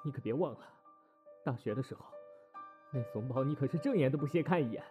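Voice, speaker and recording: A man's voice: medium pitch, expressive, fast, dull audio, faint music behind, natural voice. A man playing a proud, wounded ex-boyfriend in an audio drama, emotional and accusing. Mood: angry, scornful.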